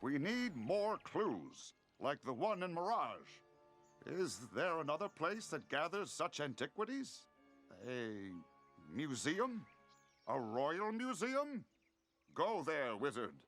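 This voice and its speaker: An actor voicing a brooding, shapeless void entity in a fantasy game, with a halting, theatrical, musing delivery. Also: male voice, a little high, big pitch swings, fairly bright tone, exaggerated voice. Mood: eerie, musing. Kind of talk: scripted fantasy game dialogue. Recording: game audio over background music.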